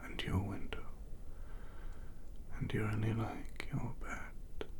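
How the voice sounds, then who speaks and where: A man giving an ASMR guided sleep story in a soft, breathy, soothing hypnotic manner, lingering on repeated phrases. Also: lowish voice, little pitch movement, slow.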